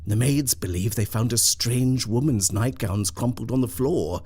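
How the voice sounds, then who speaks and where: A man's voice: mid-range voice, measured pace, a little mellow, breathy edge, voice pushed up. A man voicing a jittery haunted husband. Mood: uneasy. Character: anxious married man haunted at night. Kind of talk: storybook character voice.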